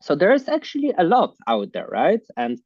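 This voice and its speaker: A man's voice: high-pitched, wildly swooping pitch, no room sound. Guy teaching a class over a video call, lots of like and uh, kinda muffled dry sound. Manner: halting, many fillers like "like, uh". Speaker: online course host. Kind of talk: casual online class talk.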